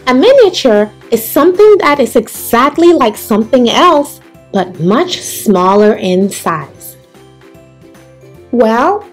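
A woman storyteller reading a picture book aloud to young children in a deliberate, expressive way. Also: sits high. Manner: deliberate, animated, addressing young listeners.

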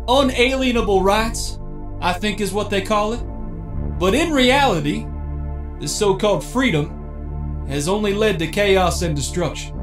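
High-voiced preaching cult leader played by an actor. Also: male voice. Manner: grandiose, persuasive, deliberate. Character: male cult leader preaching solemnly to followers. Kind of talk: dramatic sermon-like speech. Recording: echoey hall with music behind.

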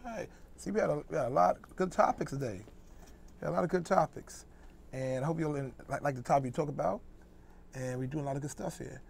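A man's voice: a little high, quick pace, gravelly, slight background noise. A middle-aged man hosting a casual studio talk show, repeating and rephrasing himself as he goes. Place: small TV studio with curtains. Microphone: lapel mic.

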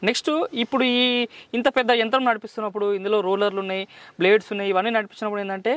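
A young man hosting an outdoor farm interview, prompting quickly and echoing his guest's answers back. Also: high voice, flat delivery, fairly bright tone. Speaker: host interviewing a farmer. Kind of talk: agricultural interview. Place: outdoors on a farm beside a tractor.

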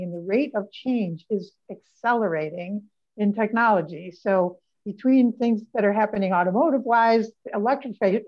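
Older woman, senator on a video-call panel, animated, mid-pitched, muffled call audio. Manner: animated, gestures a lot while talking. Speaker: state senator. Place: home office.

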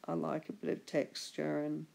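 Husky, gravelly woman teaching drawing. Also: sits low, completely flat delivery, normal speed, darkish tone. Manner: chatty, encouraging, trails off and restarts. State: husky from a chest infection. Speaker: art instructor. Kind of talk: relaxed drawing tutorial voice-over.

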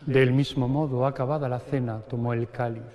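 A middle-aged man from Spain, a priest slowly and solemnly reciting the prayers of the Mass at the altar. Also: mid-range voice, fairly even intonation, darkish tone. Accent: Spanish (Spain). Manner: slow solemn liturgical recitation, parts chanted. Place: large stone cathedral. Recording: long cathedral reverb.

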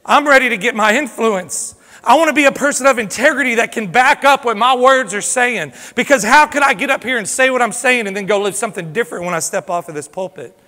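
A pastor preaching a fervent sermon, swinging from loud exhortation to hushed, confessional pleading. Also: male voice, extremely high pitch, fast talker, hardly any pauses. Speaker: lead pastor. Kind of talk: impassioned sermon. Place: church sanctuary. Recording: long hall reverb from a church sanctuary.

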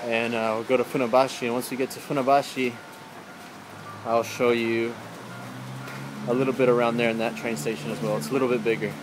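A young adult man casually narrating a walking vlog in a plain, low-key way, recorded on a handheld camera. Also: flat delivery, fairly quick, pauses often, crowd noise, rough recording. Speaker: vlogger. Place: outdoors in rain and busy train stations. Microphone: handheld camera at arm's length. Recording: loud street and station noise, handheld camera. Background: urban ambience, trains, vehicles.

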